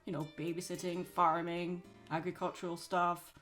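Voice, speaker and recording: A woman's voice: on the low side, little pitch movement, moderate pace, fairly bright tone, soft background music. A woman reading a scripted video essay as narrator, with a wry manner and dry asides.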